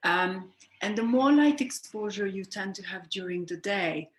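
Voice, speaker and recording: Woman, interview guest, earnest and explanatory, medium pitch, roomy recording. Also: animated, unhurried, slight background noise. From Hungary. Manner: earnest, reflective, self-correcting. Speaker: sleep coach guest. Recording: roomy echo.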